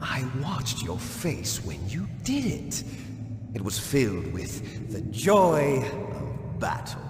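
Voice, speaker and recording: Slow, theatrical, echo-drenched villain soldier. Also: male voice, mid-range voice, wildly swooping pitch. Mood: taunting, accusing. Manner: theatrical, declamatory, drawn-out delivery. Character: bitter villainous clone soldier, fanatical, grandiose, taunting. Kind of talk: dramatic video game voice acting. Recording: echoey hall reverb with music behind.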